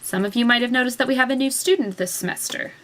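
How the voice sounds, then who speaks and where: A woman voicing a poised, strict schoolteacher who speaks in a measured, formal way. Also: on the high side, a bit bright, pitched above the performer's own voice, lightly acted.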